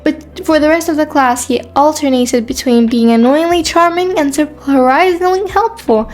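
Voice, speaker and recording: A woman playing a guarded, sassy high school girl in a first-person romance story, with a skeptical, dry manner. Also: moderate pace, faint music behind, lightly acted. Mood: puzzled, reluctant.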